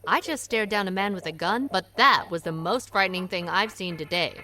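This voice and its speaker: An actress playing a sharp, wry saloon owner who talks with dry, teasing wit. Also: female voice, on the low side, fairly quick, slight background noise. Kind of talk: audio drama acting.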